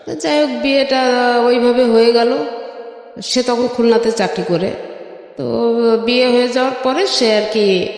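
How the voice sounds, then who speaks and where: A woman's voice: a little high, normal speed, long pauses, a little mellow. Echo-drenched Bangladeshi woman telling her story. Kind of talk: personal storytelling in an interview. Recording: very echoey, long reverb.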